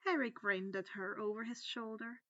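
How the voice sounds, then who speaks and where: A woman's voice: fairly high pitch, moderate pace, fairly bright tone, no room sound, muffled recording. A woman reading a scripted fan fiction story aloud as its narrator.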